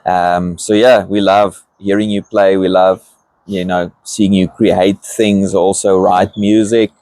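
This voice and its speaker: A man's voice: low-pitched, level tone, slow, a little mellow, no echo. A man interviewing a new church team member, affirming and reflective, thinking aloud as he goes. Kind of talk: casual interview.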